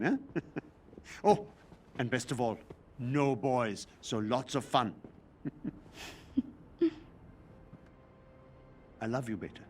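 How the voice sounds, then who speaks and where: A man playing a warm, coaxing middle-aged father in a video game. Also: mid-range voice, a little hiss or hum. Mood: fond, playful. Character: gentle, doting middle-aged father of a teenage girl. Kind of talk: video game acting, intimate dialogue.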